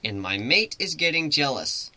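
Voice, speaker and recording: Man voicing a bright, cocky young-sounding griffin. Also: fairly high pitch, very animated, moderate pace, full character voice. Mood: teasing. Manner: informal, teasing, rambling explanations. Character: cocky, joking male griffin, formerly a troubled human.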